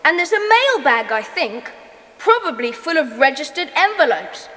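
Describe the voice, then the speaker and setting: An actress playing a decisive young boy who leads his gang in a children's audio drama, brisk and commanding. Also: high voice, big pitch swings, sharp tone, exaggerated voice. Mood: urgent. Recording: long hall-like reverb.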